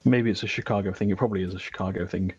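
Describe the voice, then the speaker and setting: A man's voice: low voice, fairly even intonation, racing, darkish tone, slightly rough, muffled recording. A man co-hosting live commentary on a tabletop miniatures game, casual and dryly teasing in manner. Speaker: stream co-host and commentator. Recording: close dry mic with faint music.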